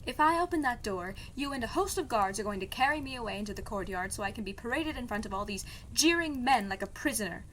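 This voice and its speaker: Woman as a stubborn, sardonic young princess, highish voice. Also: animated, quick pace, few pauses, fairly bright tone, slight background noise, rough recording. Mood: defiant. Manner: dry, defiant, sarcastic. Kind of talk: audio drama podcast acting.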